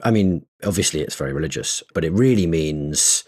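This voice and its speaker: A man's voice: very deep voice, animated, fairly quick, darkish tone, husky. A man co-hosting a casual podcast, explaining ideas at length while thinking aloud, with frequent restarts and small laughs. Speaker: podcast co-host.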